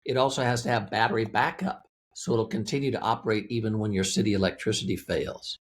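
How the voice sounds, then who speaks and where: A man's voice: on the low side, steady tone, quick pace, a little hiss or hum. A man speaking as an interview guest, explaining a product in a plain-spoken, methodical, conversational way. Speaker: interview guest, weather radio company representative.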